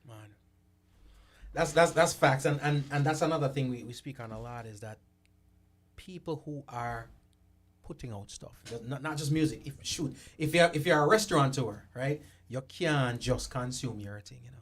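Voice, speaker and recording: A man's voice: mid-range voice, normal speed. A man hosting an interview in casual conversation, giving frequent affirmations and stammering repeats as he talks. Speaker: host.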